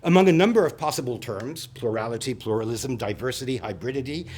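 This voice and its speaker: A university lecturer with a Turkish accent and a loud voice giving a wordy conference talk, with fillers and restarts, into a microphone in a reverberant room. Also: male voice, mid-range voice, quick pace, slightly rough. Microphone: handheld or podium microphone.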